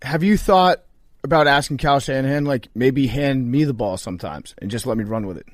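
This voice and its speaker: An American man asking casual, deadpan joking questions as a sports podcast host. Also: mid-range voice, brisk, dry recording, studio quality.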